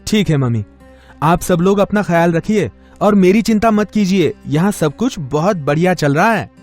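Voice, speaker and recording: A man's voice: sits high, lively intonation, normal speed, darkish tone, faint music behind, voice pushed up. A man voicing an earnest, caring young student in a moral story, eager and reassuring. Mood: eager, concerned.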